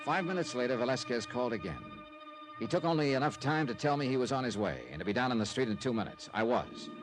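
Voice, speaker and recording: A man's voice: medium pitch, animated, fast, natural voice, poor audio. Hard-boiled radio detective played by a man. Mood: dry narration. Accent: American. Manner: terse, dry, tough-talking. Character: hard-boiled, wry male insurance investigator narrating his case. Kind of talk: old-time radio detective drama narration and dialogue. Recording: old radio drama with background music.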